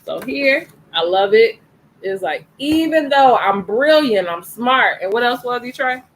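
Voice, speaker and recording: A woman's voice: a little high, lively intonation, unhurried, a little hiss or hum, rough recording. Upbeat woman hosting story time. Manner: upbeat, encouraging, recaps each page. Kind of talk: casual read-aloud with commentary.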